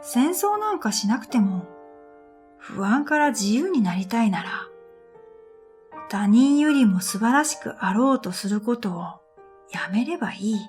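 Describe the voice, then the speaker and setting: A woman reading a scripted first-person story in a reflective, measured way, as narrator of an audio drama. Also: on the high side, very animated, darkish tone. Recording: background music under the voice. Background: music.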